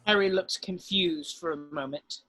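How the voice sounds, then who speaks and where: A woman's voice: lowish voice, normal speed, a bit bright, husky, no echo. A woman narrating stage directions from a script.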